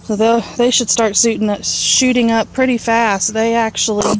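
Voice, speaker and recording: A woman's voice: a little high, flat delivery, moderate pace, poor audio. A woman giving a casual, off-the-cuff tour of her garden as a home gardener, talking outdoors in a backyard into a handheld camera. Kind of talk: casual off-the-cuff vlog narration. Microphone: handheld camera mic, held by speaker. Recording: clear outdoor ambient noise, handheld camera.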